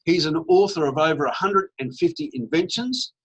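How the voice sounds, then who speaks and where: A man's voice: fairly high pitch, expressive, normal speed, a bit bright, breathy edge, rough recording. A middle-aged Australian man giving an upbeat, persuasive sales presentation with casual fillers. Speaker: network marketing presenter.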